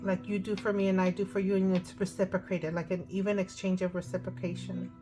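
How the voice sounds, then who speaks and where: A woman with a hoarse voice giving a casual tarot card reading, thinking aloud as she goes. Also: medium pitch, flat delivery, brisk. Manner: chatty, thinking aloud with fillers. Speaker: tarot reader. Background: music.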